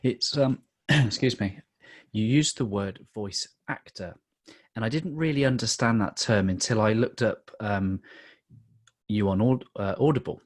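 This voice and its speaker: A woman's voice: very deep voice, measured pace, no echo, clean studio sound. A woman hosting a podcast interview, encouraging her guest with frequent affirmations. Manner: warm encouraging interviewer, many affirming murmurs. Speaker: podcast host.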